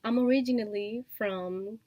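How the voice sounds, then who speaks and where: A woman from Colombia with a Colombian Spanish accent, a Spanish native speaker, talking in a casual personal vlog, hesitant and full of fillers and soft laughs. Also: medium pitch, moderate pace, dry recording. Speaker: vlogger and online shop owner.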